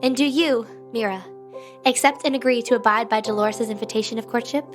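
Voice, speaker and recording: A woman playing a composed, gracious young leader in an audio drama, measured and gently formal. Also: fairly high pitch, faint music behind, natural voice. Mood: solemn.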